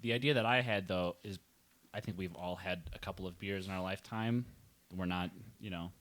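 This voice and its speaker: A young man with a lowish but bright voice talks through his views in a measured, reasoning way. He drops dry jokes into a relaxed chat among friends. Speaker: podcast co-host reviewing beer. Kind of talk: casual chat.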